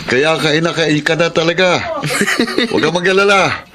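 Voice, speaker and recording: A man's voice: a little high, normal speed, crowd noise, close to an everyday voice. An actor playing a hardworking middle-aged father in a Filipino radio drama, speaking earnestly with a fatherly manner and a Filipino accent. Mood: teasing, fatherly. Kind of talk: Filipino radio drama acting and first-person narration.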